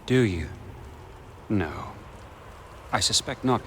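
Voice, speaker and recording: An actor playing a smug, condescending villain in a video game, speaking with formal, measured menace. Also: male voice, on the low side, animated, a bit bright, a little hiss or hum. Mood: mocking menace. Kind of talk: video game cutscene acting.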